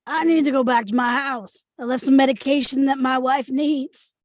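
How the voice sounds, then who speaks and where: A woman's voice: sits high, steady tone, moderate pace, natural voice. A woman playing an insistent, pleading man arguing his case in a table read. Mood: urgent, pleading.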